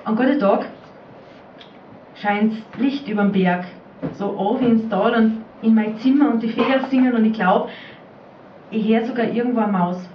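A woman with a fairly high voice recites spoken word in Austrian dialect, in the role of an old country mother. She is recorded live in an echoey room, some way from the microphone. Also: moderate pace, pauses often. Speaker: poetry slam performer. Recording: roomy, distant, muffled live recording, faint noise.

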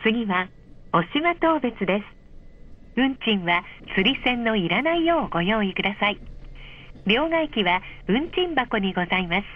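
A woman reading a polite, measured scripted announcement over a train's speakers, clearly enunciated. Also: fairly high pitch, sing-song, a little hiss or hum. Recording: dull, muffled train speaker recording.

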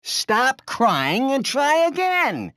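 An actor playing a cocky, taunting toy robot in a video game, with a brash, teasing, streetwise manner. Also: male voice, extremely high pitch, wildly swooping pitch, extremely slow, fairly bright tone, no echo, clean studio sound, heavily acted. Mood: mocking. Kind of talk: animated video game voice lines.

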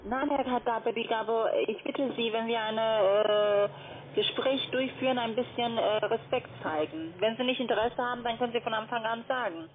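A woman's voice: a little high, normal speed. A woman making scripted phone sales calls as a call centre agent. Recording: noisy, tinny phone line. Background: background noise on the line.

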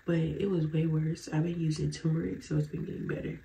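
A woman's voice: sits low, level tone, brisk, vocal fry, dark tone, a little hiss or hum. Stuffy-nosed woman chatting to camera. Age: young adult. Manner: chatty, rambling, addresses viewers as y'all. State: stuffy nose. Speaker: vlogger. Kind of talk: casual get-ready-with-me chat. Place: bedroom at home, indoors.

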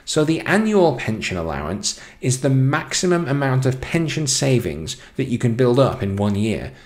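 A man narrating a scripted explainer as presenter, in a measured, announcing manner. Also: mid-range voice, big pitch swings.

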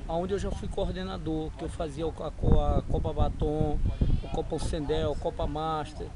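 A middle-aged man answers interview questions informally and haltingly, repeating phrases, recorded outdoors on a camera. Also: a little high, little pitch movement, heavy background noise. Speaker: amateur sports organizer being interviewed. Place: open-air football ground. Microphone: camera at close range.

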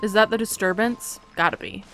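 A woman's voice: medium pitch, brisk, fairly bright tone, slight background noise, poor audio. A young adult woman doing casual, playful let's play commentary, chatty and rambling as she talks to herself. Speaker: gaming YouTuber.

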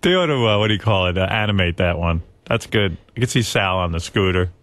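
A man's voice: low voice, lively intonation, fast talker, a bit bright, no room sound. A man bantering casually as a show host, amused and repeating favorite lines.

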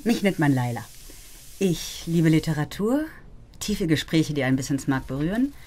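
Schauspielerin als ruhige, sinnliche Frau mit tieferer Stimme. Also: female voice, low-pitched, very animated, moderate pace, mellow. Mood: calm, candid. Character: calm, self-assured, sensual literature-loving woman. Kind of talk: German short radio drama acting.

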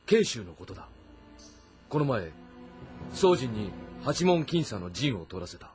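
An actor playing a commanding, shrewd warlord of ancient China in a dramatic game, with a terse, authoritative manner. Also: male voice, medium pitch, big pitch swings, measured pace, music in the background. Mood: grave. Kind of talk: dramatic audio-novel game acting.

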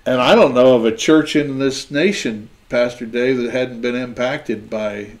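A man's voice: medium pitch, measured pace. A man in his sixties hosting a panel discussion, talking in a conversational way and gesturing as he speaks. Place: small indoor studio set.

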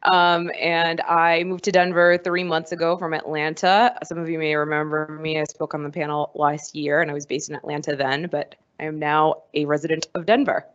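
Panelist: friendly woman with a lowish voice, muffled recording. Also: level tone, moderate pace, hardly any pauses. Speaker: panelist, asset management executive. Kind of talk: panel discussion. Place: hotel conference room with an audience. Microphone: handheld microphone. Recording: dull lo-fi sound.

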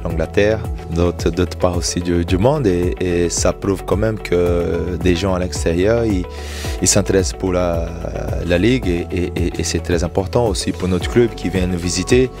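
A man speaking as a club representative in an interview, halting and repeating words. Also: sits low, steady tone. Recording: music playing behind.

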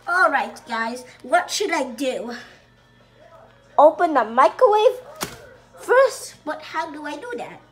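A woman's voice: sits high, big pitch swings, slow pace, long pauses, soft background music, full character voice. A woman voicing a goofy, eager male plush toy who rambles cheerfully while cooking in a home kitchen, on a handheld phone. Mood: cheerful rambling.

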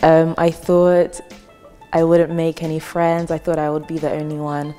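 A woman's voice: sits low, level tone, moderate pace, mellow. A woman in her twenties, a student speaking casually in a testimonial interview with a lapel mic. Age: 20s. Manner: casual, chatty with fillers. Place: indoor hall with wooden wall. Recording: reverberant hall with light music.

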